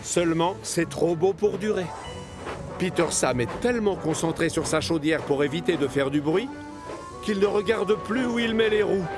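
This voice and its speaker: Expressive male storyteller over music. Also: high-pitched, normal speed. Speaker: narrator. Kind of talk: scripted children's storytelling narration. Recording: music under the voice.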